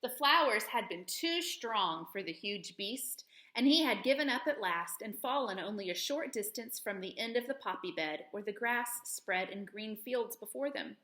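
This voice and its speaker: A woman reading a children's story aloud as a storyteller, with expressive delivery. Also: mid-range voice, moderate pace, few pauses. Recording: echoey room.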